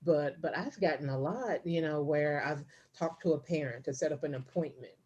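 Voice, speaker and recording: A woman's voice: low-pitched, moderate pace, darkish tone, slightly rough, highs cut off. A middle-aged woman co-hosting a podcast, telling stories casually with lots of fillers and restarts. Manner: storytelling, many fillers and restarts. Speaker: podcast co-host. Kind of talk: casual chat.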